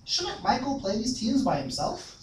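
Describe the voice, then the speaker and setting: A man playing an excitable sports superfan host in a comedy sketch, stretching words, repeating himself and tagging questions with "eh". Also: extremely high pitch, exaggerated voice. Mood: showy, excitable. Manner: drawn-out, repetitive, tags questions with "eh". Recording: echoey room, distant mic, faint noise.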